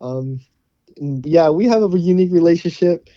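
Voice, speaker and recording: A man's voice: on the high side, normal speed, dark tone, no room sound, lo-fi sound. A young man from Hawaii, a college football player, answering interview questions in an easygoing way with many fillers and restarts. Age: young adult.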